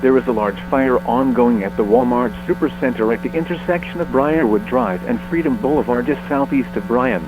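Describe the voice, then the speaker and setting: A man's voice: medium pitch, over music. Muffled male emergency alert announcer. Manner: formal, measured. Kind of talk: scripted emergency broadcast announcement. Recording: muffled narrow-band alert audio.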